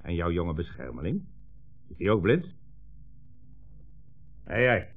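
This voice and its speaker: An actor playing a menacing, sarcastic communist commissar in a 1960s radio drama, speaking imperiously and with contempt. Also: male voice, sits low, wildly swooping pitch, unhurried, full character voice. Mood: threatening. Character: menacing communist commissar, sneering, bullying, coldly sarcastic interrogator. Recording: tinny, band-limited old radio recording, faint music.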